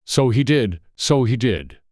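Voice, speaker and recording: A storybook narrator voicing a gruff, bossy male griffin who scolds bluntly and impatiently. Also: mid-range voice, very animated, a little slow, a little mellow, no room sound, studio quality. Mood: matter-of-fact.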